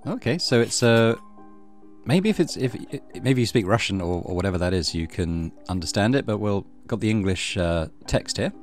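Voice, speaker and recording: Deep-voiced man reading game narration. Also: expressive, moderate pace, soft background music. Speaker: let's play host. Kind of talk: reading game narration aloud, with casual commentary.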